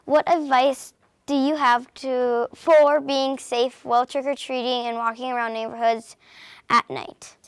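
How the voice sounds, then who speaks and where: A woman's voice: sits high, level tone, a little slow, smooth, no room sound. A young woman reporter interviewing a guest politely, with a few hesitations. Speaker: student reporter.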